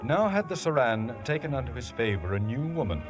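A man's voice: mid-range voice, sing-song, a little fast. A man narrating a biblical radio drama in a grave, formal manner. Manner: grave, formal, archaic phrasing. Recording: old radio broadcast with music.

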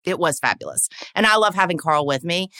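A woman's voice: lowish voice, animated, a little fast, no echo, clean studio sound. A woman in her forties from the American South with a Southern accent, co-hosting a casual chat in a chatty storytelling way. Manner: chatty, laughing, warm storytelling. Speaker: co-host, lawyer.

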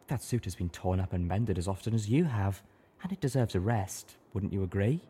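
A man's voice: on the low side, animated, a little fast, dark tone, husky. A man voicing a refined, theatrical female unicorn in an audiobook, sing-song and gracious.

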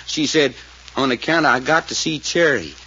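An actor playing a folksy, excitable detective sidekick with a drawl in a 1940s radio drama. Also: male voice, medium pitch, normal speed, natural voice. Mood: earnest recounting. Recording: muffled, noisy old radio recording.

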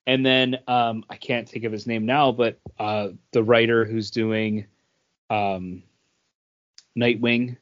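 A man with a medium-pitched voice talks casually as a podcast co-host. He is hesitant, often searching for words. The audio sounds slightly dull and band-limited. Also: fairly even intonation, takes it slowly. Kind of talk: casual group discussion about comics.

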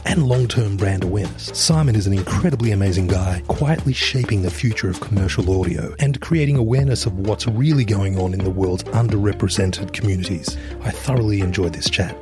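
A man's voice: fairly low pitch, brisk, few pauses, music in the background. A man hosting a podcast, reading a scripted intro and then chatting casually in a recording studio. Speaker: podcast host.

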